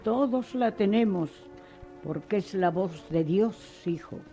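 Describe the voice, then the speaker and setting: An actress playing a kind, devout, motherly schoolteacher in a radio drama, speaking with measured, formal compassion. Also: medium pitch, expressive, creaky, mellow, soft background music. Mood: compassionate, solemn. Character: kind, devout, motherly schoolteacher giving gentle moral counsel.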